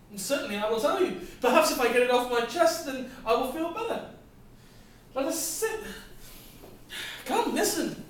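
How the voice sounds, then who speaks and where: A man's voice: very high-pitched, a little hiss or hum, exaggerated voice. An actor playing a harried, overworked family man who is desperate and out of breath, pleading and bursting into rants. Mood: weary. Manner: pleading, gasping, ranting in outbursts. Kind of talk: theatrical stage acting. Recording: echoey hall from far off, faint ambience.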